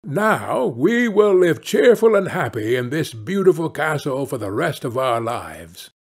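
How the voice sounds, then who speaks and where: A man's voice: on the high side, very animated, measured pace, breathy edge, heavily acted. A man voicing a meek, worried, henpecked fisherman in a fairy-tale audiobook, with a pleading, plaintive manner. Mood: contented.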